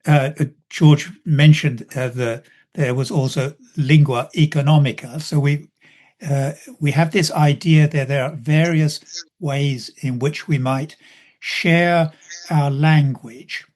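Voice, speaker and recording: A British man giving an unscripted answer as a symposium speaker, in measured academic phrasing with many hesitant pauses. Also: on the high side, fairly even intonation, darkish tone. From Britain.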